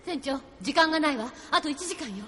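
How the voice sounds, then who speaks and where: A woman's voice: sits high, animated, a little fast, fairly bright tone, slight background noise. A woman playing a cool, firm, pragmatic resistance member in a Japanese audio drama.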